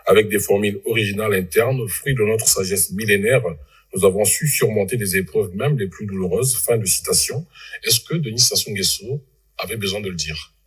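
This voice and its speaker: Low-voiced male debate host. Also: fairly quick, poor audio. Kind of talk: moderating a TV debate, putting questions.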